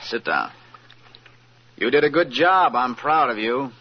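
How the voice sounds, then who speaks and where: A man playing a calculating, smooth-talking crime boss, curt and commanding, in old-time radio drama style. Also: medium pitch, lively intonation, unhurried, slight background noise, lightly acted. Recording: muffled old radio recording.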